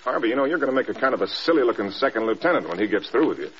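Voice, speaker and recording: A stern and defiant bomber commander in an old radio drama. His mid-pitched voice swings animatedly through brisk, clipped military lines. The recording is muffled, with a faint hiss. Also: sing-song, a bit bright. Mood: weary, wry. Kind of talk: 1940s-50s radio drama acting.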